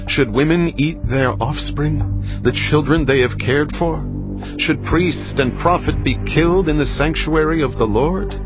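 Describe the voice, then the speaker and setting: A man narrating scripture in a solemn, measured, dramatic style. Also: mid-range voice. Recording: muffled, with music behind. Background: music.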